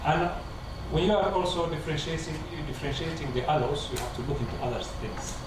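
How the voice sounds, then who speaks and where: A man on the panel answers slowly, stopping often on fillers as he searches for words. His voice sits a little high for a man. He is heard from a distance in a heavily echoing hall, with a clear background noise. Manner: hesitant, many fillers. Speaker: co-researcher on the panel. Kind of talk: lecture Q&A. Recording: echoey hall from far off, noisy.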